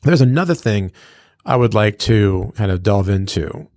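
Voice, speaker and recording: Male radio host, lower register, dry studio-tight sound, formal announcer wording laced with slang. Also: lively intonation, normal speed, darkish tone, no room sound. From United States, New England. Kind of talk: radio interview hosting.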